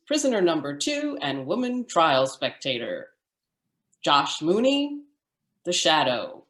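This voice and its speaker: A woman announcer reading a script in formal, presentational old-time radio style. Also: on the low side. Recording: roomy, echoing sound.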